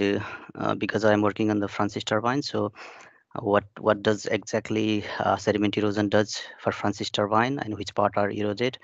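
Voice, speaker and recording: A man's voice: fairly low pitch, no echo. A young man from Nepal giving a webinar talk on his research, hesitant with many fillers and restarts. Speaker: PhD researcher presenting. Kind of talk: webinar presentation. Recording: muffled dry video call audio.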